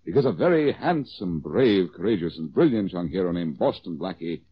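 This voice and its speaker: An actor playing a cocky, quick-witted amateur detective with wry self-assurance in a 1940s radio drama. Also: male voice, low voice, very animated, breathy edge, exaggerated voice. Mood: playful, teasing storytelling. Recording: dull old radio recording.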